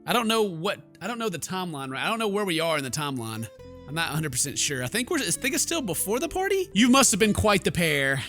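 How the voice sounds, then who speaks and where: A man's voice: sits high, sing-song, fast talker, pushed and bright, soft background music. A man hosting a gaming video, jokey and exclamatory, mixing casual commentary and muttered asides with reading text aloud.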